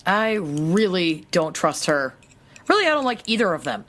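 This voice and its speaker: A woman's voice: fairly low pitch, big pitch swings, moderate pace, a bit bright. A woman playing a sceptical, analytical sister in an audio drama, talking matter-of-factly. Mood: distrustful. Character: sceptical, brainy sister who reasons like a scientist. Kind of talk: acted audio drama dialogue.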